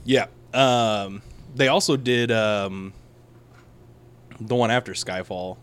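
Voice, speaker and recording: A man's voice: on the low side, takes it slowly, fairly bright tone, clear, resonant tone. Male podcast host, sore throat, rambling, lots of ums. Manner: rambling, restarting, frequent ums. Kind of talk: casual chat.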